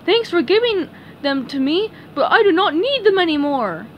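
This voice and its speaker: A woman acts out a boisterous, childishly eager male cartoon starfish. The voice is loud and high with big swoops, told storybook style over faint noise. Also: sing-song, moderate pace, highs cut off. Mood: pleased.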